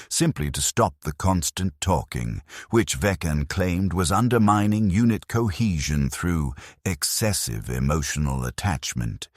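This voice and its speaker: A man reading a scripted first-person military sci-fi story in a formal, measured, detached manner. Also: extremely low pitch, husky, dry recording, studio quality. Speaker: narrator of fiction stories.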